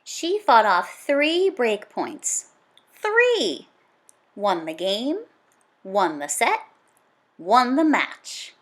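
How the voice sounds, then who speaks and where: Animated woman reading a storybook aloud. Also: fairly high pitch. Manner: dramatic, emphatic delivery with punchy exclamations and drawn-out key words. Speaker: storybook read-aloud reader. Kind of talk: expressive read-aloud narration of a children's nonfiction book.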